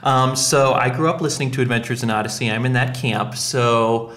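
A man's voice: mid-range voice, fairly even intonation, fairly quick, a bit bright, echoey room. A man hosting a casual podcast, chatting in a jokey, self-deprecating way. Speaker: podcast host.

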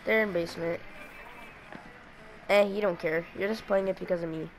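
A woman's voice: on the low side, fairly even intonation, moderate pace, faint music behind, low-quality recording. A young woman chatting casually and teasing a friend while playing a game, laughing often. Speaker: gamer streaming with a friend. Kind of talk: casual gameplay chat.

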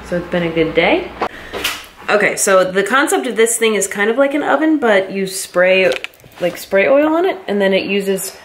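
A woman's voice: medium pitch, animated, moderate pace. An American woman in her thirties chatting casually and explaining things as a fitness vlogger, recorded on camera at home. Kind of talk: casual vlog chat and explaining. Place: home kitchen, indoors. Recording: roomy kitchen with some background noise.

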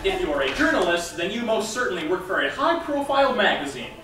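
A young man playing an excitable scientist who lectures on rom-com rules in a mock-serious, scripted stage style. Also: high-pitched, fast talker, background noise. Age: young adult. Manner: theatrical, mock-serious delivery. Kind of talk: scripted comic stage narration. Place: stage in a large theater. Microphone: far camera. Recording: distant, very echoey theater stage.

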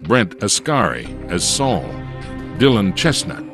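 A man's voice: fairly low pitch, very animated, takes it slowly. A man reading scripted credits as the announcer of a radio drama. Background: music.

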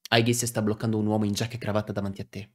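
A man's voice: lowish voice, flat delivery, rapid-fire. A young man, a game streamer, giving a dramatic reading of on-screen story text. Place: indoor streaming setup. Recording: loud game music behind voice. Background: game music and dialogue.

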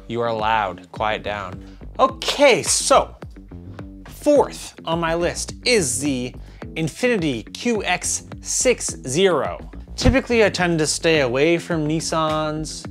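A man in his thirties hosting a casual car review to camera, with a loose, tipsy delivery full of stumbles and drawn-out words. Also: medium pitch. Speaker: host of a car review show. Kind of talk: casual scripted-list review to camera with asides. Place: small home office room with computer monitors. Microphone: lavalier clipped to shirt. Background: music.

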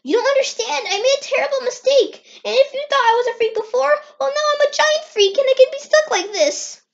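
Someone doing a very high, earnest young boy fox. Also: brisk, creaky. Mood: panicked. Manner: earnest, excitable. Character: young boy fox, eager, anxious, growing giant. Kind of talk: amateur comic dub. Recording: dull, lo-fi screen-recorded sound.

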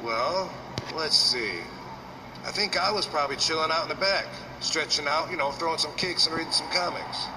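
A man's voice: fairly high pitch, moderate pace, natural voice. An actor playing a laid-back, sarcastic male wrestler in a video game cutscene. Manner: sarcastic, slacker drawl. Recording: distant noisy game audio.

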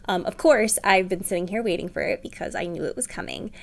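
A woman's voice: mid-range voice, fairly quick, vocal fry. Bubbly woman streamer. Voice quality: bubbly, excitable, many fillers. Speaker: streamer, reaction host. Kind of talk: casual reaction chat.

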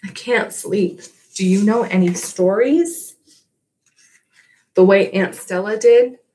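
A woman voicing a young female elephant calf who speaks softly and tearfully, read aloud from a storybook. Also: medium pitch, takes it slowly, slight background noise, voice pushed up, close to an everyday voice. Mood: sad pleading. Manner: soft, tearful and pleading.

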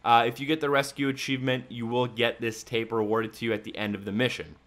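A man's voice: medium pitch, little pitch movement, fast, fairly bright tone. A man giving a casual, step-by-step walkthrough commentary as a video game guide narrator. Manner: instructional, step-by-step. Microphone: voice-over on a microphone.

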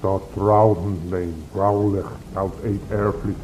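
Guy doing a gruff fantasy sea raider, low and really slow, noisy ambience behind. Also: fairly even intonation, darkish tone. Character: gruff foreign seafaring raider in fantasy story. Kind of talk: fantasy audio drama acting.

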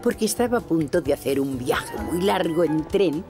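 A woman with a Castilian Spanish accent narrating a children's cartoon like a gentle storybook reader. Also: sits low, animated, normal speed, music in the background. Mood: storytelling.

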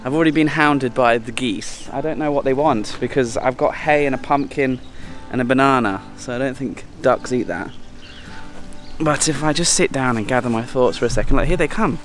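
A man, chatting casually to a handheld camera as he travels, talking to animals in a high playful voice now and then. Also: moderate pace, faint music behind. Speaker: travel vlogger. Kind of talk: casual travel vlog chat. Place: outdoors at a petting farm and a dam. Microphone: handheld camera at arm's length.

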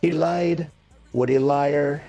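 A man's voice: fairly high pitch, fairly even intonation, slow pace, dark tone, highs cut off, faint music behind. A man voicing a bragging, petulant caricature of an American ex-president through an AI voice changer, bickering and swearing on a livestream. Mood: demanding, aggrieved. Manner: boastful, petulant, foul-mouthed bickering.

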